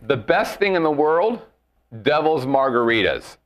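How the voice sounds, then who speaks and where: A man, the show's producer, joking deadpan and boastful as a studio guest. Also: a little high, a little slow. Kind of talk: casual studio banter.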